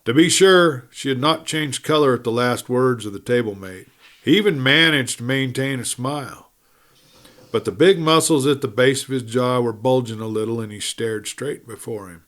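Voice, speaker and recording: A man's voice: medium pitch, normal speed, fairly bright tone. A man reading a western adventure story as a dramatic audiobook narrator.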